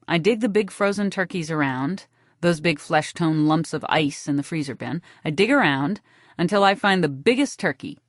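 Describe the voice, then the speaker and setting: A woman narrating a first-person novel for an audiobook with a wry, deadpan delivery. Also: fairly low pitch, no room sound, clean studio sound.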